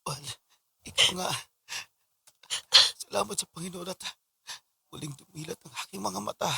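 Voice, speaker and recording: A man with a Filipino accent acting a devoted, grateful husband newly woken from a coma, earnest and halting, in a radio drama. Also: high-pitched, gravelly, pushed and bright, husky, no room sound, heavily acted. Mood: grateful, tender.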